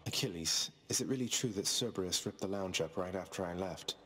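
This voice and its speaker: Sardonic young prince in a game, low voice, deadpan, kinda kind. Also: male voice, a little fast. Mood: friendly. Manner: deadpan, understated, wry. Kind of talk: video game character acting.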